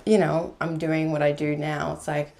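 Relaxed young woman, chatty podcast guest. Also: sits low, moderate pace, vocal fry. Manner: laughs easily, relaxed and chatty. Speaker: guest, film crew camera assistant. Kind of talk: casual podcast conversation. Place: home room with warm lamp, indoors. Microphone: large podcast mic on boom arm.

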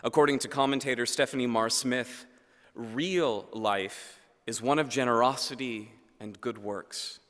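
Earnest man preaching in a reverberant church. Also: a bit bright. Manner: measured, earnest delivery, dropping low at phrase ends. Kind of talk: sermon. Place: large church. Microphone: lectern microphone.

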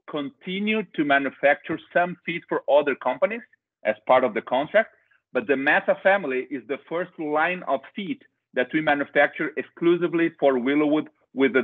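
A man's voice: a little high, brisk. A middle-aged man from Brazil with a Brazilian accent, presenting a webinar over a video call with hesitant restarts and filler uhs. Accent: Brazilian Portuguese. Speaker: company director presenting a webinar. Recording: tinny phone-like video call audio.

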